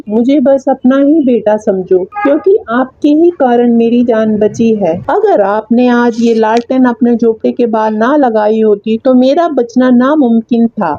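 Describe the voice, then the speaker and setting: Humble grateful man for a cartoon, earnest, respectful, mellow tone, dull dry sound female performer. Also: a little high, dry recording. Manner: earnest, deferential. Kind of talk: Hindi moral-story cartoon dub. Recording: dry, dull muffled audio.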